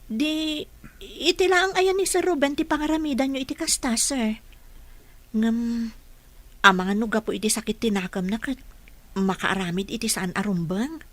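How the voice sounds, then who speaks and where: A woman's voice: on the high side, normal speed, pauses often, a bit bright, no room sound, natural voice. A woman with an Ilocano accent playing a deferential, caring household aide in an audio drama. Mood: concerned, respectful. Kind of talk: radio-style Filipino drama dialogue.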